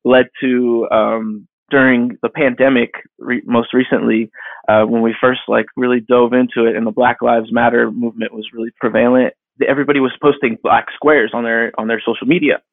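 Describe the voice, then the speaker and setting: Gravelly man in his thirties on a tinny line. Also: mid-range voice, little pitch movement, dry recording. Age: 30s. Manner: rambling, halting, with many fillers and restarts. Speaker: digital artist guest. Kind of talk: casual interview answers. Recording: dry tinny phone-like line.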